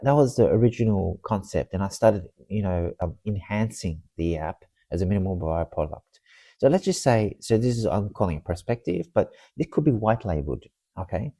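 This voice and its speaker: Low, mellow man roughened by a cold. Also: no room sound. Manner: rambling, halting, restarts sentences and repeats words. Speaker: software demo presenter for marketers. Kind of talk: casual screen-share walkthrough, unscripted.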